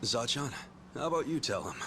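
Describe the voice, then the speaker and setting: A man's voice: medium pitch, animated, fairly quick, background noise, lightly acted. A man playing a loyal, earnest ex-yakuza in a video game cutscene. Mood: embarrassed. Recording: music behind the voice.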